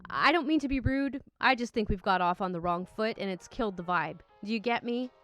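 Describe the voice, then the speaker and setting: A woman's voice: mid-range voice, brisk, a bit bright, faint music behind, close to an everyday voice. A woman reading the part of a blunt, exasperated man driving his date in an online story. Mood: conciliatory.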